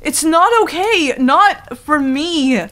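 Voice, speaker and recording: Woman voicing a flustered, halting tsundere werewolf girl. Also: high voice, fairly bright tone, pitched above the performer's own voice. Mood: flustered, upset. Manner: halting, stammering, defensive. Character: proud, flustered young female tsundere werewolf. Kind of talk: intimate ASMR roleplay.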